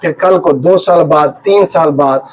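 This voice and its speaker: A man's voice: on the high side, unhurried. A man with a Pakistani accent giving an informal legal lecture as an advocate, explaining and emphatically repeating his key points. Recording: dull, lo-fi muffled audio.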